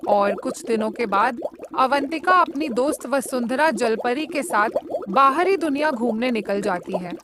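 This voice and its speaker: A woman's voice: fairly high pitch, lively intonation, measured pace, background noise. A woman narrating a scripted children's cartoon story as the storyteller. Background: music.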